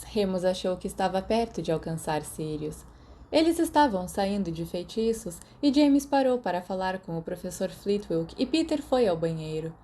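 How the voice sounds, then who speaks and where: A woman's voice: fairly low pitch, warm tone, a little hiss or hum. A woman with a Brazilian accent reads a story aloud as scripted audiobook narration. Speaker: podfic narrator.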